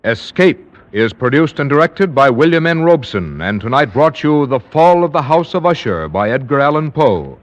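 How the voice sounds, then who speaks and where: A man announcing a radio show in formal, measured, scripted 1940s style. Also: medium pitch, big pitch swings, slight background noise. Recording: slightly dull vintage radio recording.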